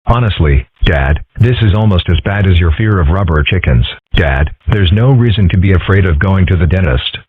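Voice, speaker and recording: Man playing a low-voiced philosophical young fox. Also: lively intonation, normal speed, hardly any pauses, husky, no echo. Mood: dry, thoughtful. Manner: thoughtful, dry, articulate. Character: earnest, philosophical young male cartoon fox. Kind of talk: comic strip dub, character acting. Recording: muffled, dull, dry audio.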